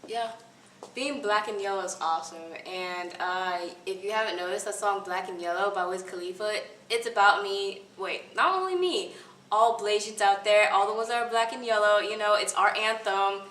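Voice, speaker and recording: A woman's voice: medium pitch, normal speed, a bit bright. Hesitant woman, distant echoey recording. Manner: hesitant, rambling, many fillers and restarts; breaks into light laughs. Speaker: interviewee. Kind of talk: casual interview answers. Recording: roomy echo, distant mic, music behind.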